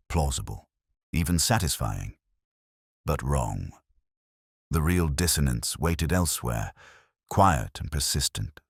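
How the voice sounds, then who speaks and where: A man with an extremely low voice narrates a detective mystery in the first person. His delivery is measured and solemn, with expressive swings in pitch. The recording is dry and close. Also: breathy edge, no echo.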